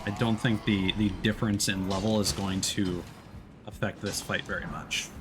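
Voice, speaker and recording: A man giving casual live commentary as he plays a video game, thinking aloud in a halting way. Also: sits low, fairly even intonation. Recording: game music behind the voice. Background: game music.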